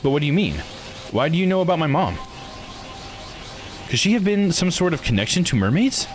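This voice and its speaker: A man voicing an earnest, excitable youth in a visual novel, eager and questioning. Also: on the high side, a little fast, voice pushed up. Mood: pleading. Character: earnest, excitable youth searching for answers about mother. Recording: music behind the voice.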